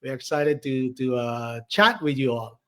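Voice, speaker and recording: A man's voice: mid-range voice, lively intonation, normal speed, sharp tone. A man with a Filipino accent giving conversational interview answers as a guest coach, feeling his way through phrases and checking in with "right". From Philippines. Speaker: podcast guest, online business coach.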